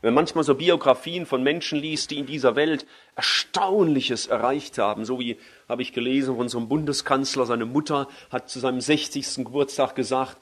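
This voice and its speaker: A man preaching a sermon in German. He leans hard on key phrases and slows down when he quotes scripture. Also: mid-range voice, slightly rough. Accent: German, with a native dialect.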